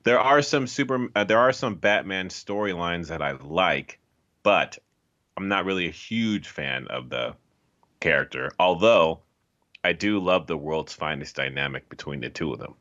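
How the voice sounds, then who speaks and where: A man's voice: deep voice, expressive, normal speed, fairly bright tone. A man who is a voice actor, chatting casually as an interview guest on a lo-fi microphone. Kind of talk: casual chat.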